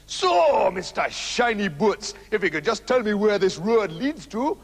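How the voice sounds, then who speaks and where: A man's voice: high-pitched, big pitch swings, a little slow, creaky, long reverb tail, exaggerated voice. A man voicing a boastful, rude male bully in a storybook reading, swaggering and cheerfully menacing. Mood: bossy. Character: boastful brutish rude male brute who loves bullying.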